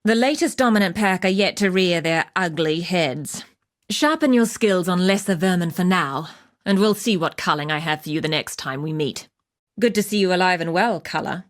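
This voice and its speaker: Woman acting as tough huntress, lowish female voice, earthy, dry wit, measured delivery, video game quest-giver. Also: lightly acted. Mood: wry, encouraging. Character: tough, wry wilderness huntress, earthy and purposeful. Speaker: game character voice actor.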